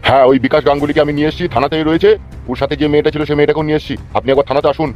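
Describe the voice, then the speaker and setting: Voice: mid-range voice, level tone, rapid-fire, darkish tone, highs cut off, faint music behind, natural voice. A performer voicing a crude, bossy male police officer in a cartoon who threatens and swears. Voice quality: gruff, threatening, swears a lot. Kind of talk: cartoon voice dubbing.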